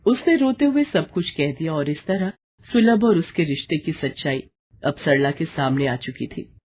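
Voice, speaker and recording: A woman's voice: sits low, darkish tone, a little hiss or hum. A woman reading a scripted moral story as its narrator.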